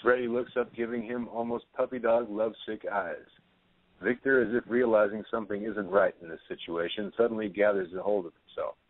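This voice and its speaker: A man narrates a play's stage directions from a script. His voice is fairly low. The sound is dry, with a narrow telephone-like band. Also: steady tone, fairly quick, no echo.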